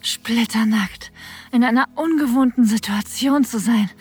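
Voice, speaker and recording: An actress as a slow, breathy, sensual woman narrator. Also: a little high, faint music behind, close to an everyday voice. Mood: sensual, aroused. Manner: breathy, sensual, partly whispered delivery. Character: aroused woman narrating her own intimate encounter. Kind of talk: erotic audio drama narration.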